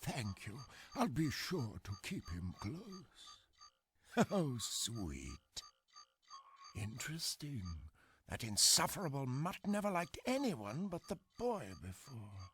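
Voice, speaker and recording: An actor voicing a dignified, scheming old man in a video game, with a formal, deliberate and theatrical manner. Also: mid-range voice, sing-song, a bit bright, faint music behind. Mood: intrigued. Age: older. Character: scheming, dignified old male resistance elder. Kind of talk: dramatic video game cutscene acting.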